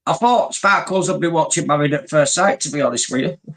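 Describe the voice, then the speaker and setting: A man's voice: a little high, fairly quick, gravelly, a bit bright. A man, bantering in a blunt, sweary, laddish way as co-host. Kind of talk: casual banter.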